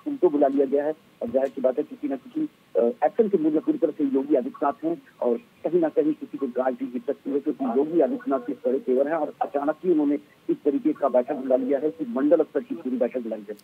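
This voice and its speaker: A middle-aged man reporting live as a field news reporter, halting and wordy, with a husky voice. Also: medium pitch. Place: outdoors outside a government office. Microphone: handheld microphone. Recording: muffled, narrow-band live outdoor link.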